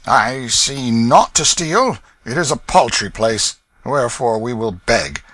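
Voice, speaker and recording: A man's voice: mid-range voice, lively intonation, slow pace, fairly bright tone, no room sound, pitched above the performer's own voice. A man voicing a sly young beggar and thief who talks in a scornful, wheedling, bossy way. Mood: mocking scorn.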